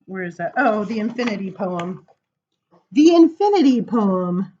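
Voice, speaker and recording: A woman's voice: medium pitch, sing-song, measured pace, warm tone, breathy edge. Storytime librarian lady, really swoopy sing-song reading for kids, takes her time, kinda muffled recording. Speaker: children's storytime librarian reading poems aloud. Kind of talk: expressive poetry read-aloud for children with casual asides.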